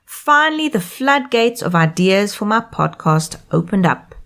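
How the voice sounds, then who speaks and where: Lively South African woman podcast host. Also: medium pitch, measured pace. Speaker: podcast host and life coach. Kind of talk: scripted solo podcast monologue.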